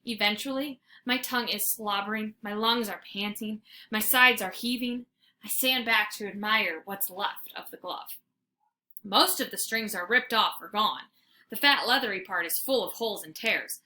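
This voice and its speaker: A woman reading a children's story aloud like a teacher, with dramatic, expressive narration. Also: mid-range voice. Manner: dramatic, animated.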